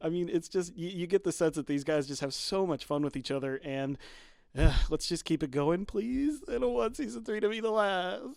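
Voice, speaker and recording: A man's voice: high-pitched, moderate pace, vocal fry, darkish tone, no echo, studio quality. A man co-hosting a fan podcast, chatting casually and laughing through his sentences. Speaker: podcast co-host.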